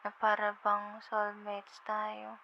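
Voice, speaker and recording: A woman's voice: medium pitch, completely flat delivery, slow, vocal fry, highs cut off, a little hiss or hum. A woman playing a sleepy, affectionate young girlfriend on a late-night call, with a Filipino accent and a tender, hesitant, intimate ASMR delivery. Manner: drowsy, tender, hesitant, slips between Tagalog and English.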